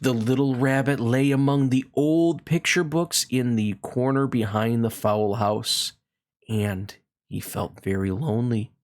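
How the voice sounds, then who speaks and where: A woman's voice: a bass voice, unhurried, darkish tone. A woman reading a children's story aloud as a scripted narrator.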